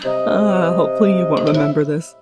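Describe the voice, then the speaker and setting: A woman's voice: low voice, a little fast, over loud music, close to an everyday voice. A woman playing a kindly, flustered grown pony auntie who coaxes hesitantly and stammers, in a cartoon comic dub. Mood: flustered, apologetic.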